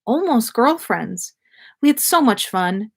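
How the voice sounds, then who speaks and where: Woman acting a breathy, lovesick young woman. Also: a little high, very animated, slow pace, exaggerated voice. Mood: wistful. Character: lovesick young woman pining in private thoughts. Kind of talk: acted inner monologue in a read-aloud story.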